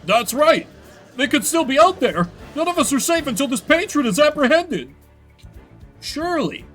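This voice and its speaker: A man's voice: very high-pitched, sing-song, moderate pace, fairly bright tone, soft background music, voice pushed up, heavily acted. A man voicing an earnest, indignant young defense attorney in a video game, emphatic and argumentative. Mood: urgent alarm.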